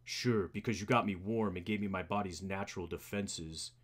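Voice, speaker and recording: A man's voice: deep voice, normal speed, pitched below the performer's own voice. A man voicing a brooding young rich comic-book hero in a terse, serious manner. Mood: earnest, skeptical.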